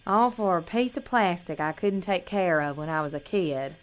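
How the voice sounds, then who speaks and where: A woman playing an innocent, earnest small-town pageant girl in an audio drama, self-doubting and gentle. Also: fairly low pitch, animated, moderate pace, lightly acted. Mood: hurt, self-doubting.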